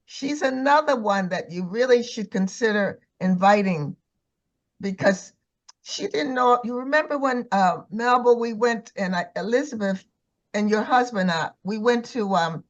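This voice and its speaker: A woman's voice: fairly high pitch, dull audio. An older woman in her seventies or beyond, talking casually in a group discussion. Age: 70s or older. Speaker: church community member.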